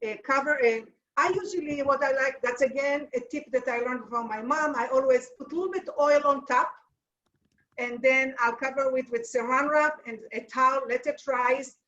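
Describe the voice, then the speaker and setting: A middle-aged woman from Israel with an Israeli accent, demonstrating a recipe in a halting, practical way and searching for words. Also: sits high, fairly even intonation, fairly bright tone. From Israel, family from Tunisia. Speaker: cooking instructor. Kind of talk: live cooking demonstration. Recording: distant, dull lo-fi mic.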